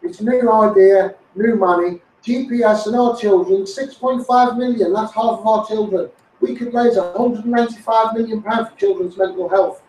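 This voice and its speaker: A man's voice: high-pitched, darkish tone. A man with a Scouse accent making an insistent, repetitive campaign appeal to live viewers, directly addressing those in power. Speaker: campaigning video host. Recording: echoey, distant from the microphone.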